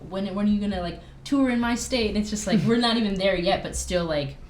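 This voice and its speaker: A woman's voice: mid-range voice, fast, darkish tone. A woman chatting casually as a podcast guest, gushing and peppering her talk with "like". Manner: gushing, heavy use of "like". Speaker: band member, podcast guest. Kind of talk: casual chat. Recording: roomy echo, clear background noise.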